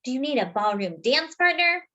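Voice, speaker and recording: A woman's voice: sits high, very animated, moderate pace, pushed and bright, dull audio, pitched above the performer's own voice, full character voice. A woman voicing a talkative little male doughnut, eager and dramatic, in a children's storybook reading. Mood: hopeful pitching.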